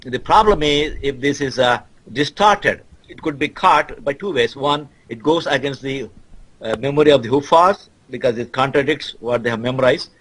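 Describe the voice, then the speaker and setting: A man speaking as a guest scholar in a debate, explaining his case in a halting but insistent way. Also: medium pitch, a bit bright, husky, low-quality recording.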